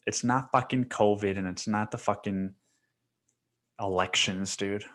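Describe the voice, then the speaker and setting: Young guy rambling on his podcast, cusses a lot, says dude constantly, lowish voice. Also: fairly bright tone. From Mexico. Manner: rambling, profane, filler-heavy with repeated "dude". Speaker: podcast host. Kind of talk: casual solo monologue.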